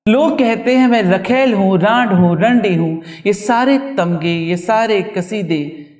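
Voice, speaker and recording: A man reciting his own poetry in a dramatic, emotive style, with deliberate delivery. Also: high voice, a little mellow. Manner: emotive, deliberate, with repeated refrains. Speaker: poet reciting own work. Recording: roomy echo, distant microphone.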